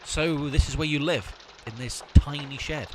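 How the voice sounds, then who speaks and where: An actor playing a bossy, sarcastic amateur sleuth in a comic audio drama. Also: male voice, fairly high pitch, steady tone, normal speed, a little hiss or hum, close to an everyday voice. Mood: questioning, firm.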